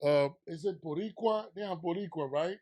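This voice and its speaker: A man with a New York accent hosts a laid-back interview in a fairly high voice. He swings from hyped, loud excitement to a low, confiding tone, talking loose and street-casual. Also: normal speed. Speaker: podcast host and DJ.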